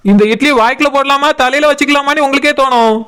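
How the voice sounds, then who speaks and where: A performer plays a chatty cartoon idli seller with a high voice and a Kanyakumari Tamil accent. The patter is boastful and repetitive, turning deferential and pleading with customers. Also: steady tone, fast, fairly bright tone. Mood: eager, defensive. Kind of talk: comic cartoon dialogue.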